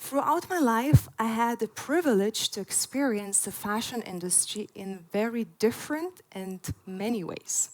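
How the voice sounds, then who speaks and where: A woman's voice: a little high, normal speed, few pauses, low-quality recording. A woman in her forties, originally from Lithuania, giving an earnest prepared talk at a festival with frequent "uh" fillers. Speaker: conference speaker. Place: festival stage before an audience.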